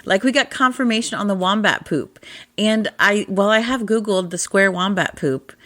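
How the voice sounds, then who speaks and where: A woman co-hosting a podcast in casual chat, husky in her morning voice, chatty and laughing often. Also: mid-range voice, normal speed, a bit bright, no room sound. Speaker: podcast co-host.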